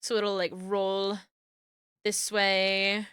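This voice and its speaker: A young woman with a New Jersey accent, chatting casually on a live stream while she works, murmuring to herself and then greeting viewers with loud squeals. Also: mid-range voice, completely flat delivery, very slow, smooth, dry recording, clean studio sound. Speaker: VTuber streamer rigging a model.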